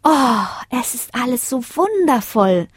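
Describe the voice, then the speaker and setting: A woman's voice: on the high side, sing-song, takes it slowly, warm tone, husky, no echo, clean studio sound, pitched above the performer's own voice, exaggerated voice. A woman voicing a curious, eager, easily delighted little girl in a children's story.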